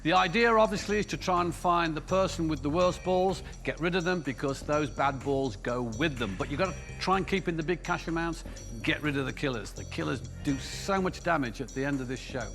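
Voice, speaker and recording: A man's voice: a little high, brisk, creaky, fairly bright tone. A man hosting a game show, introducing the rules and contestants with showy, bantering delivery. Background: game show music.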